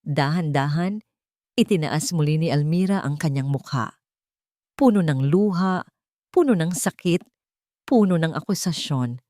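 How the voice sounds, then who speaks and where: A woman's voice: sits low, lively intonation, unhurried, long pauses, warm tone, no echo, clean studio sound. A woman narrating a scripted romantic drama in an emotive storytelling manner.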